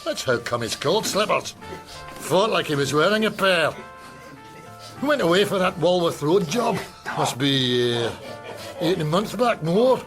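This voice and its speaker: A man playing a gruff, scornful working-class boxing trainer with a blunt manner in a crime radio drama. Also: fairly high pitch, big pitch swings, a little slow, long pauses, over music, rough recording. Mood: gruff, wary. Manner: blunt, barking.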